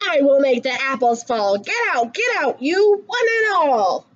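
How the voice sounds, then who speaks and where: A young adult woman reading a rhyming picture book aloud to children in an expressive, singsong way. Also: high-pitched, a little slow, highs cut off. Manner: animated, singsong rhyming delivery. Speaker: children's story reader.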